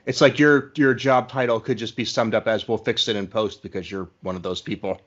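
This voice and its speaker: A man's voice: on the low side, fairly even intonation, fairly quick, a bit bright. Male podcast interviewer, dull lo-fi sound. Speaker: podcast host interviewing a guest. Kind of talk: casual interview chat. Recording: dull, lo-fi audio.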